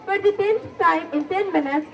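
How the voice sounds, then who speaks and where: Very high-voiced female play narrator. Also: moderate pace, a faint crowd. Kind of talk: scripted stage narration. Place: school stage, recorded from far off. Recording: distant microphone pickup.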